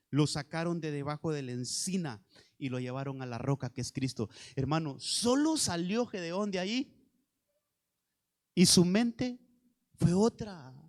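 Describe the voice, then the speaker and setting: A male evangelical pastor with a Honduran accent delivers a sermon in a notably high voice. He exhorts his listeners directly and repeats phrases to press his point. The sound carries the long reverb of a large hall. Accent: Honduran Spanish.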